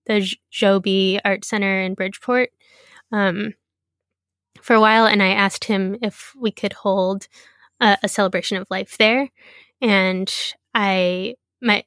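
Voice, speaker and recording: A young adult woman being interviewed, talking in a halting, casual way with many fillers and restarts. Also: medium pitch, a bit bright, no echo, clean studio sound.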